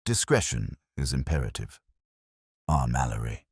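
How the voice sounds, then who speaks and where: A man reads as a discreet Victorian official in an audiobook. The voice is extremely deep and dryly recorded. The delivery is stiff, guarded and formal. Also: sing-song, normal speed, vocal fry, a little mellow, slightly rough, no room sound, studio quality, exaggerated voice. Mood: grave, official.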